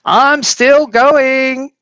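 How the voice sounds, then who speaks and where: A man's voice: very high voice, steady tone, extremely slow, pushed and bright, no echo, highs cut off, voice pushed up, heavily acted. Man putting on a screechy, gleeful old granny. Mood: wild excitement. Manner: exuberant, bossy, exclamatory. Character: shrieking, gleeful, demanding ancient scrawny grandmother.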